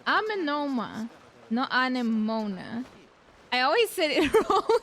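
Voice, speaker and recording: A woman's voice: high-pitched, very animated, unhurried, a bit bright. A woman streamer giving casual live game commentary, talking back to the characters informally. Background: game music.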